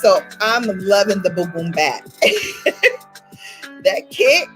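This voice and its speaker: A woman's voice: mid-range voice, sing-song, takes it slowly, fairly bright tone, low-quality recording. A woman from Brooklyn with a Brooklyn accent, hosting a casual livestream, chatting and laughing a lot with her viewers. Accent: Brooklyn New York. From Brooklyn, New York. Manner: chatty, laughs often, greets viewers by name. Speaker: livestream host. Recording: faint music behind.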